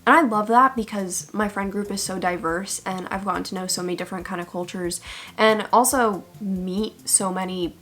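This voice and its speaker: A young American woman in her twenties talks straight to her viewers in a relaxed, playful vlog style. She tosses in sassy little asides. Her pitch sits right in the middle for a woman. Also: normal speed. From United States. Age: 20s. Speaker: YouTuber. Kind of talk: casual vlog chat.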